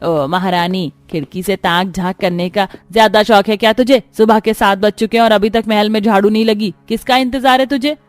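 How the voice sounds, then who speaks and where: Woman doing a mean cartoon stepmom, loud sarcastic nagging, music behind. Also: mid-range voice, steady tone, fairly quick, hardly any pauses, no room sound, natural voice. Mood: scolding, contemptuous. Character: cruel, scolding stepmother of a palace household. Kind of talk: cartoon story voice acting.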